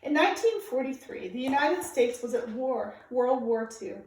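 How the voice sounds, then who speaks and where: Echoey, higher-voiced woman reading aloud. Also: expressive, moderate pace, a little mellow, slight background noise. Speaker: teacher reading a picture book aloud. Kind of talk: read-aloud storytelling for children, informal introduction. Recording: very echoey room, distant microphone.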